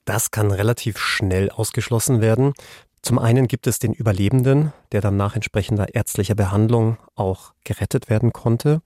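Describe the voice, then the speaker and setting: Male true-crime podcast expert, mellow and fairly deep, explaining conversationally, recorded close and dry. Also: no room sound.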